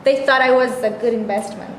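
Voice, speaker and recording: A woman's voice: on the high side, fairly even intonation, brisk, natural voice. A woman playing a naive, anxious, jobless young woman in an amateur staged play reading, earnest and plaintive. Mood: rueful. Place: echoey room, staged reading. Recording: echoey room, clear background noise.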